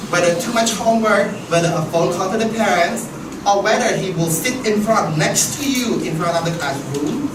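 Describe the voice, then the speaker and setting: A man's voice: high-pitched, normal speed. A man from Southeast Asia leading a teacher training session, speaking conversationally and often checking in with "right?". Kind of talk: workshop discussion. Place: training room. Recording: echoey room, mic far off, noisy.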